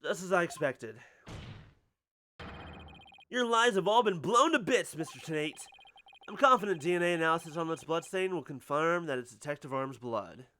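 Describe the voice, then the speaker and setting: A woman voicing a confident, composed defense attorney in a video game, accusing in an assertive, theatrical, deliberate way. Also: low voice, animated, fairly bright tone, slight background noise. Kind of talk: dramatic video game courtroom reading.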